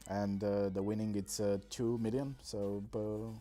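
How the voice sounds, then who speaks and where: A man from Romania, streaming a mobile game in casual, halting commentary full of pauses and fillers, on a desk microphone. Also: fairly low pitch, flat delivery, warm tone, soft background music.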